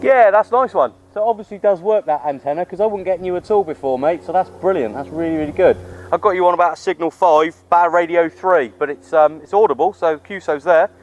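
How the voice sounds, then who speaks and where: Man, fairly high voice, casual and matey radio hobbyist, recorded outside with some background noise. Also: fast talker, a little mellow, muffled recording. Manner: matey, informal British slang. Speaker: amateur radio operator and vlogger. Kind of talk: casual radio chat and outdoor vlog. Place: outdoors on a hill. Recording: clear outdoor background noise.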